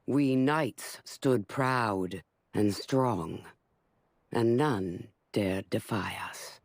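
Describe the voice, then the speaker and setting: A woman voicing a ruthless, commanding female warlord in a game cutscene, speaking slowly and gravely with a hushed menace. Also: very deep voice, dry recording, exaggerated voice. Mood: grim, menacing. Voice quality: slow, measured, grave delivery with hushed menace. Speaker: villain narrator. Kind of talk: scripted video game cutscene narration.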